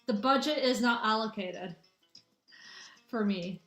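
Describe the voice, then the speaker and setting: A woman's voice: a little high, measured pace, fairly bright tone, faint music behind. A young woman from the American Midwest hosting a casual livestream, chatting with viewers in a rambling way with lots of restarts. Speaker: livestream host doing spiritual readings. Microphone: phone or webcam. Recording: echoey room.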